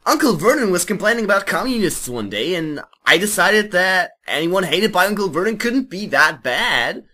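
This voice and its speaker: A performer playing a zealous, self-righteous teenage schoolboy with a husky, strained voice in an amateur comedic reading. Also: medium pitch, big pitch swings, normal speed, sharp tone. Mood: smug, earnest.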